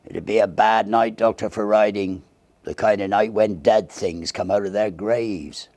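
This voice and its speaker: A man's voice: lowish voice, fairly even intonation, measured pace, darkish tone, no room sound, voice pushed up. A man voicing a superstitious young groom, giving emphatic warnings full of foreboding in a storybook reading. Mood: ominous warning.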